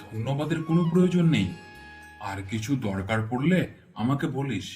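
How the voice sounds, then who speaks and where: A man voicing a generous, fussy village landlord in a cartoon folk tale, with a theatrical, expressive delivery. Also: mid-range voice, a little fast, faint music behind, natural voice. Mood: kindly.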